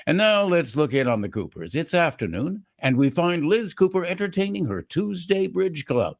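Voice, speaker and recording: A man's voice: a little high, sing-song, moderate pace, creaky. A man announcing and narrating in theatrical old-time radio style, reading from a script.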